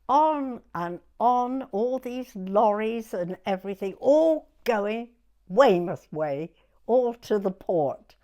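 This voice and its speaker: An elderly woman in her nineties with a southern English accent tells her memories informally. She speaks slowly and wanders, often dropping a sentence halfway and starting it another way. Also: medium pitch. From Dorset, England. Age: 90s. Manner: rambling, often breaking off and rephrasing mid-sentence. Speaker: oral history interviewee. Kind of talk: informal spoken reminiscence.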